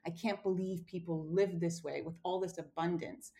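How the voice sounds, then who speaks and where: A woman's voice: lowish voice, steady tone, moderate pace, mellow. A woman from Romania, a cookbook author, telling a personal story in a casual interview, with many fillers and restarts.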